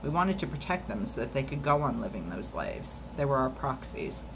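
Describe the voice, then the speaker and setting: Woman reading aloud over background noise. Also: a bass voice. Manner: steady measured reading with occasional slips and restarts. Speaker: storytime reader.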